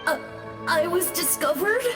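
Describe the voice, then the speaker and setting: Shy boy spirit for a game: high, childlike, earnest, hesitant, music underneath. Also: lively intonation, unhurried. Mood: sheepish, timid. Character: timid, innocent little boy ghost, earnest and easily scared. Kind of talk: English game voice acting.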